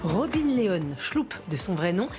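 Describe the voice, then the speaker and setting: A woman presenter narrating a TV report in a clear, lively scripted delivery. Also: on the low side, measured pace, loud music behind the voice.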